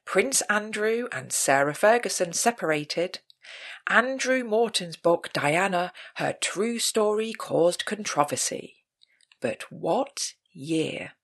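A woman reading out a scripted pub quiz as host, in a measured, announcing manner. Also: medium pitch, expressive.

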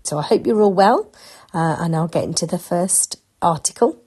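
Low female news reader, bunged up with a cold, plain steady delivery. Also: normal speed, breathy edge. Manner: plain steady reading with asides describing photos. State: congested, short of breath from a cold. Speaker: newspaper reader for listeners. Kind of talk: reading news articles aloud.

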